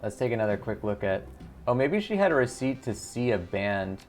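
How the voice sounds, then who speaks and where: A man's voice: lowish voice, big pitch swings, normal speed, soft background music. A man hosting a gaming video, casually commenting and reading game text aloud, often muttering to himself as he thinks.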